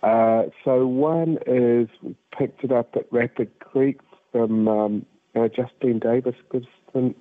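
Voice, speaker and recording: A man's voice: mid-range voice, steady tone, takes it slowly, dry recording. A man with a Glasgow accent calling in to a radio show, speaking hesitantly with many ums and uhs. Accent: Scottish, Glasgow. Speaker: caller. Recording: tinny phone line.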